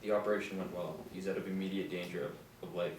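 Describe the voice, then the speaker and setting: A man's voice: low voice, steady tone, quick pace, natural voice. A man reading the part of a commanding hospital doctor in a screenplay table read. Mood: grave. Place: small indoor room. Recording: roomy distant mic with background hiss.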